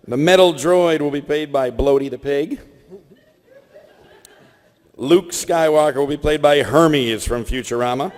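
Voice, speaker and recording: A man's voice: fairly high pitch, a little slow, long pauses, darkish tone. A man hosting a live comedy show from a convention stage, announcing casually to the room. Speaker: host of live voice-acting show. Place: convention stage in a large hall. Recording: echoey convention hall reverb.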